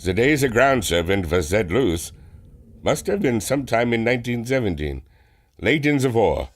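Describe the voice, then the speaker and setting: An actor playing a modest, earnest German engineer with a German accent, speaking formally and a little hesitantly in a radio drama. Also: male voice, medium pitch, big pitch swings, moderate pace. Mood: remorseful. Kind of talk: radio adventure drama acting.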